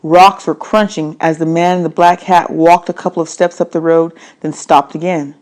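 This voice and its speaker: Woman reading a kids book out loud, lowish voice, expressive, leans on key phrases. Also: normal speed. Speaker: read-aloud narrator. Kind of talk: scripted children's novel narration, first person.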